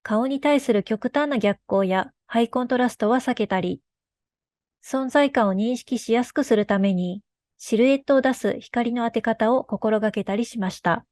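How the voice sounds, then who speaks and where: A woman's voice: a little high, normal speed, a little mellow, no room sound. A woman giving a scripted technical presentation about her studio's work. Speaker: game studio developer presenting a workflow.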